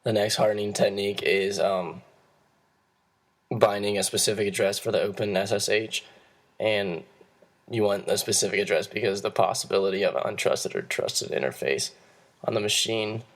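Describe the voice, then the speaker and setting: A hoarse young man, losing his voice, giving a class presentation and explaining steps in a halting way with frequent restarts. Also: on the low side, fairly even intonation. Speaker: student presenter.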